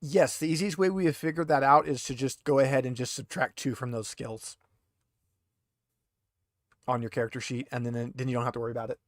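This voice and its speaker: A man speaks as game master of a tabletop roleplaying session. His voice is mid-pitched and recorded very dry, and he explains and narrates casually, pausing to think aloud. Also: fairly even intonation, no echo.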